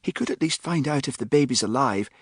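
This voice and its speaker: A man voicing a forthright young woman in an audiobook, raising his voice high and speaking plainly and matter-of-factly. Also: animated, a little fast, slightly rough, no echo, clean studio sound. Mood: insistent. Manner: plain-spoken, matter-of-fact.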